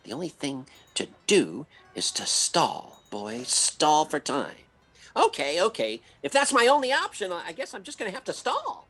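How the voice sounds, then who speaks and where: A man's voice: fairly high pitch, big pitch swings, normal speed, a bit bright, a little hiss or hum. An American man playing a bumbling, self-mocking husband in old-time radio comedy, stammering and flustered. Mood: self-reproachful.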